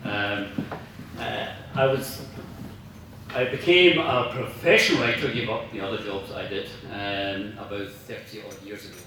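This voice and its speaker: A man's voice: on the low side, a little slow, sharp tone. A Scottish man of mature years at a club dinner, heard from across a very echoey function room, telling rambling, humorous, self-deprecating stories as the guest speaker. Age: middle-aged or older. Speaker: after-dinner speaker, professional writer. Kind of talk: informal anecdotal speech to an audience. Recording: echoey room, distant mic, faint noise.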